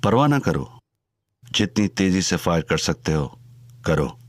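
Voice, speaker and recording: An actor playing a dangerous older male gangster in an audio drama, talking slowly with calm, amused menace. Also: low voice, dry recording, clean studio sound. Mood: challenging. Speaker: Chacha Singhi.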